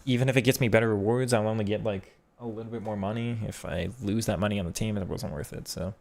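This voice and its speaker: A man's voice: lowish voice, fairly even intonation, fast, gravelly, darkish tone. A man streaming a football video game, answering chat casually and thinking aloud with frequent restarts. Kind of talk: casual live-stream commentary reading chat.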